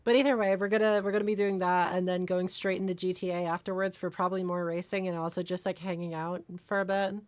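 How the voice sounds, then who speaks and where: A woman's voice: fairly low pitch, flat delivery, fast talker, few pauses, dry recording. A woman hosting a livestream in casual chat, hesitant and often repeating her words, with music behind her. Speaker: livestream host, vtuber streamer.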